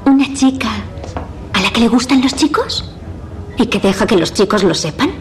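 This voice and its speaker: A defiant teenage girl voiced by an actress with a Spain accent in a dubbed TV drama. Her voice is fairly high, sarcastic and provocative, over a slightly noisy clip. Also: animated, normal speed, lightly acted. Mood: defiant provocation.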